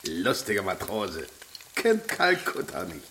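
Low, lively, gruff cook. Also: male voice, slow, background noise, heavily acted. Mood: brusque teasing. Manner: brusque, grumbling, teasing. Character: gruff, wry, overworked male restaurant cook. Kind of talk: children's radio play acting.

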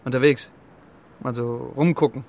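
An actor playing a cheeky, streetwise pigeon in a children's radio play, with a gravelly voice and a sardonic, colloquial swagger. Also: male voice, mid-range voice, fairly even intonation, normal speed, a little mellow. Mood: teasing.

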